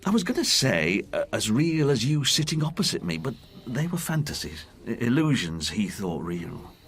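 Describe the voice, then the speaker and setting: An actor in a radio drama playing a candid working man who haltingly recalls a friend's decline. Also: medium pitch, big pitch swings, a little hiss or hum, close to an everyday voice. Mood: sombre, regretful. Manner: halting, plain-spoken, reflective.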